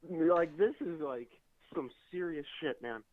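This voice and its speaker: Deep-voiced young man on a phone line. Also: a little hiss or hum. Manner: drawn-out, loose, crude. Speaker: caller. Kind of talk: phone call-in. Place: sitting on his bed.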